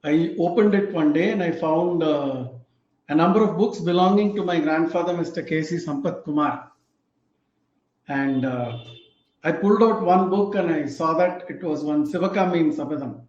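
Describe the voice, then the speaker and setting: A man's voice: on the high side, darkish tone. Indian English man, 50s, reflective host, lightly raised voice, lo-fi room echo. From Tamil Nadu, India. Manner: reflective, unhurried storytelling with fillers. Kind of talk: casual book talk. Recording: echoey, dull lo-fi room sound.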